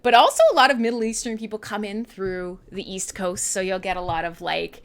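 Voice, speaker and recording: A woman's voice: medium pitch, steady tone, a little fast. A woman with a Canadian accent hosting a radio show in a casual, irreverent, sweary and playful chatting style. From western Canada. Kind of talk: casual live chat between music tracks.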